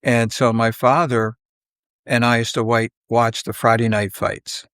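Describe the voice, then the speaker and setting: An older man reminisces conversationally, in halting, unhurried phrases, often acting out bits of dialogue. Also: medium pitch, flat delivery, a bit bright, clean tone, no room sound, clean studio sound. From South Philadelphia, United States. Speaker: podcast guest.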